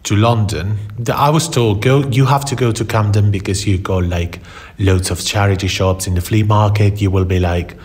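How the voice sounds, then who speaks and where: A man from Spain with a Spanish accent, telling personal stories in conversation in a halting way, repeating words for emphasis. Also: on the low side, fairly even intonation, a little mellow. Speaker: interview guest, photographer.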